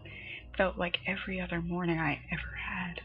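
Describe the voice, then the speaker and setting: Low-voiced woman reading horror, muffled recording. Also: flat delivery, moderate pace. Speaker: horror story narrator. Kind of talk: scripted dramatic creepypasta narration. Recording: muffled lo-fi home recording, music behind. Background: background music.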